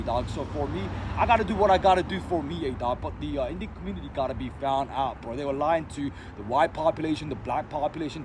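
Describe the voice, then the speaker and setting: Man from Sri Lanka, slightly high voice; rambling slangy talker, recorded outside over loud traffic. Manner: rambling, slang-heavy, many fillers and restarts. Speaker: vlogger. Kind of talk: casual unscripted monologue. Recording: noisy street with passing cars.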